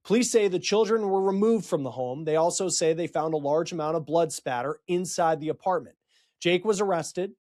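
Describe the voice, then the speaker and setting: A man hosting a true-crime report, explaining a case in a storytelling news style with dramatic, emphatic pauses. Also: a little high, a little mellow. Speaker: true-crime show host.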